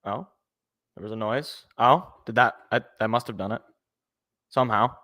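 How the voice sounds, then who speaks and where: An American man with a slightly raspy, congested voice, hosting a casual solo sports talk show in a rambling, jokey way that repeats phrases for emphasis. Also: mid-range voice, normal speed, bright, forceful tone. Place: indoors at home.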